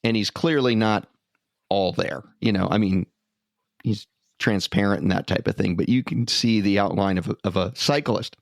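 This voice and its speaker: A man's voice: on the low side, normal speed, gravelly, no echo, studio quality. A man co-hosting a podcast in a casual, conversational storytelling style. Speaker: podcast co-host.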